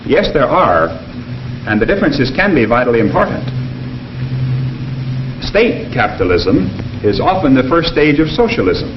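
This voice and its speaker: An actor playing an earnest American professor in a 1950s educational film, speaking in a formal, deliberate, didactic way. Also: male voice, mid-range voice, big pitch swings, close to an everyday voice. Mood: earnest, instructive. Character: earnest male economics professor lecturing his class. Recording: dull, noisy 1950s film soundtrack.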